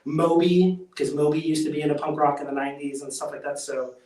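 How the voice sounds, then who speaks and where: A man, a drummer being interviewed, chatting casually in an excitable, halting, slangy, rambling way. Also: on the high side. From United States. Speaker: band drummer, interview guest. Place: echoey room. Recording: echoey room, distant from mic.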